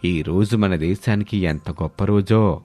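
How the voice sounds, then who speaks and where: A man's voice: deep voice, measured pace, mellow, dry recording, soft background music, pitched below the performer's own voice. A man voicing a proud, sentimental elderly father-in-law in a storybook family drama. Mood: moved, reverent.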